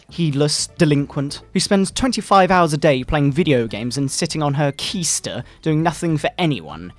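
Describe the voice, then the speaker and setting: Casting: male performer as indignant Bible-thumping father, theatrical and scolding, highly varied intonation, game music underneath. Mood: scornful. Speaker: video narrator. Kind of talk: dramatic satirical reading, sermon-like. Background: video game music.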